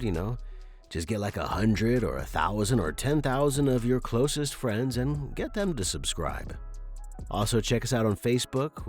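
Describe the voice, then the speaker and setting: A man narrating as a story podcast host, with a measured, articulate delivery. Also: lowish voice, a little mellow. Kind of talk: scripted fiction narration and podcast outro.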